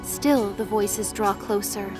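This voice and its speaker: A woman reading scripted dramatic story narration in a measured, descriptive storytelling manner. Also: medium pitch, over loud music.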